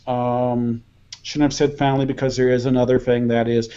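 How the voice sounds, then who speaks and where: A man speaking as a guest journalist on a roundtable, in a measured, self-deprecating way. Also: mid-range voice, little pitch movement, dark tone, highs cut off. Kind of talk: casual roundtable chat.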